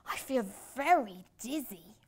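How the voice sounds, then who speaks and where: Woman as an excitable high-pitched little penguin. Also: unhurried, slight background noise. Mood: dizzy, eager. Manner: exclamatory, squealing when excited. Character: excitable, hopeful young male penguin.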